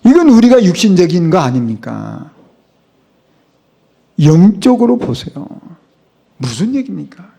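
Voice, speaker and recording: High-voiced Korean pastor preaching. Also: male voice, big pitch swings, measured pace, vocal fry, long pauses, mellow, slightly rough. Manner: emphatic, rhetorical questions to the congregation. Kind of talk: sermon. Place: church sanctuary.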